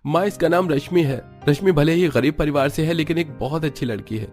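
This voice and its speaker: A man's voice: fairly high pitch, brisk, a little mellow, faint music behind, voice pushed up, lightly acted. A man voicing a proud, blunt but devoted rich young man in a cartoon story. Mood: earnest, pleading.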